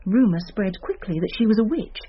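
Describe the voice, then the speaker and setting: An actress playing a schoolteacher who shares local folklore in a confiding, storytelling manner in a radio drama. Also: female voice, mid-range voice, animated, brisk, breathy edge, dull audio. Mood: confiding, hushed intrigue. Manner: conspiratorial, storytelling.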